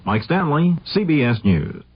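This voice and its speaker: A man's voice: on the high side, big pitch swings, unhurried, husky. A man anchoring a scripted radio news bulletin, heard on a muffled vintage 1970s broadcast.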